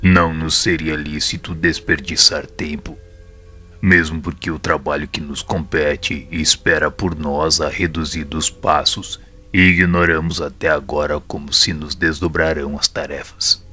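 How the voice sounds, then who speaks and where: Very deep, bright-edged Brazilian spirit mentor, acted by a man. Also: steady tone, unhurried, exaggerated voice. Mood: patient, firm. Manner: formal, instructive, assured. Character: calm authoritative male spirit mentor explaining patiently. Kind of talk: audio drama dialogue. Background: faint music.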